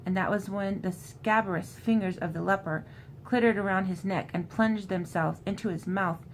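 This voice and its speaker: A woman host gives an informal book recap, moving between loose retelling and reading aloud. Her voice sits at an ordinary mid pitch for a woman. There is noticeable ambient noise behind her. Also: poor audio. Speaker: host recapping a horror novel. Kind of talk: casual book recap with passages read aloud.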